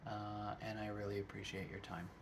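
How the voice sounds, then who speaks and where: A middle-aged man giving a thoughtful, unhurried spiritual reflection to his viewers, often asking "right?". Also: on the low side, flat delivery, background noise. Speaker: vlogger.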